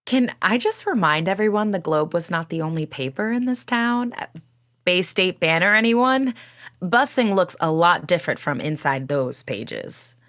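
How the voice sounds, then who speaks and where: A woman's voice: lowish voice, lively intonation, moderate pace, a bit bright. A woman acting as a skeptical questioner in an audio play, conversational and challenging. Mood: pointed.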